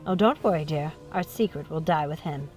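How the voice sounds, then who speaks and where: A woman's voice: deep voice, fairly quick, faint music behind, voice pushed down, natural voice. Woman acting a menacing, mocking witch mother. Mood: cold, coaxing. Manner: syrupy menace, swinging from hushed coaxing to screaming.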